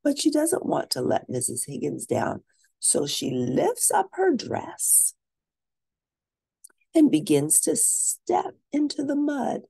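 Lady reading a kids book out loud, mellow n gravelly, stretches words for emphasis. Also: sits high. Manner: expressive, drawn-out emphasis for young listeners. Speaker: storybook reader. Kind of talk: animated children's storybook read-aloud.

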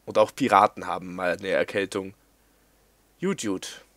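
A young man doing casual let's-play commentary, playful and quick to giggle, reading game lines aloud in silly voices. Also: sits low, a bit bright, no echo. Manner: giggly, playful, breaks into laughter. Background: faint game music.

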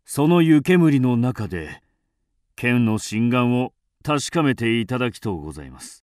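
An actor playing a mysterious, fearless undead avenger in a radio drama, speaking in a grim, theatrical, archaic manner. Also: male voice, medium pitch, very animated, a little slow, darkish tone, no room sound, clean studio sound. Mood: courteous, guarded. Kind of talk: Japanese radio drama acting.

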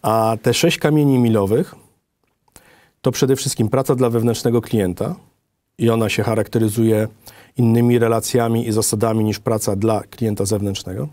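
A middle-aged man giving a formal, methodical slide presentation in a measured way. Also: lowish voice, steady tone, mellow, dry recording. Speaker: design office director presenting.

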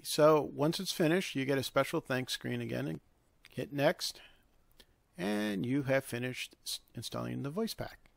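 Male, mid-pitched with vocal fry, informal instructional screen-tutorial narration. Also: no room sound. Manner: step-by-step, instructional. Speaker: tutorial host. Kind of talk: informal software tutorial walkthrough.

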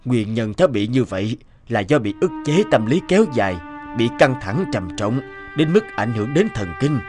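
A man's voice: medium pitch, very animated, normal speed, music in the background, lightly acted. A man voicing a sober, authoritative middle-aged doctor in a cartoon, formal and explanatory. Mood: grave, explaining. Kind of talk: cartoon voice acting.

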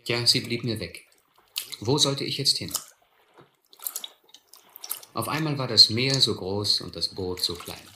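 An actor as a reflective first-person narrator recalling a boyhood adventure in a German radio play. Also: male voice, medium pitch, expressive, unhurried, pauses often, pushed and bright, roomy sound, slight background noise, full character voice.

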